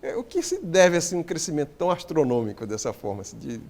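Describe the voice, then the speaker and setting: A man's voice: fairly high pitch, big pitch swings, fast, creaky, a little mellow. A man with a Brazilian accent hosting a TV interview, asking questions with many restarts and hesitations. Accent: Brazilian Portuguese. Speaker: TV talk show host. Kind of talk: TV studio interview.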